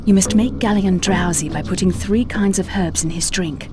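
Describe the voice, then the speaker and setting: A woman playing a gentle, helpful wood elf ally in a video game, speaking calmly and carefully. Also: medium pitch, normal speed, noisy background, close to an everyday voice. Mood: gentle caution. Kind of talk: video game cutscene acting. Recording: clear music under the voice.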